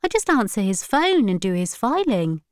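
A woman's voice: mid-range voice, sing-song, moderate pace, no room sound, studio quality, voice pushed up, close to an everyday voice. A woman voicing a tired, exasperated young granddaughter who protests in a plain-spoken, indignant way. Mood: defensive.

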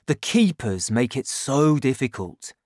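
A man voicing a lower-voiced, scheming father. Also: big pitch swings, measured pace, no echo, clean studio sound. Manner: lowered, softer voice, confiding. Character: warm, scheming father, quietly resentful. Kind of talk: audiobook character voice.

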